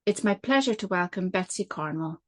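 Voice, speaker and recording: A woman hosting a podcast, reading a measured introduction and then asking questions in an earnest way. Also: mid-range voice, animated. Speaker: podcast host. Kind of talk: scripted intro, then interview.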